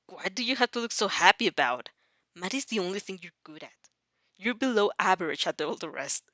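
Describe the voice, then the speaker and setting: A woman's voice: medium pitch, animated, brisk, fairly bright tone, dry recording, natural voice. Arrogant schoolboy bully played by a woman, sneering, foul-mouthed, dry close recording. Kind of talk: amateur audio fanfic acting.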